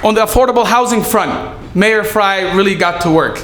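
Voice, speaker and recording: High-voiced man giving an earnest speech. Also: heavy background noise. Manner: earnest, measured delivery with some halting fillers. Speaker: city staffer giving a speech. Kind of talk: prepared public speech. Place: event hall. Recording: echoey room with faint ambience.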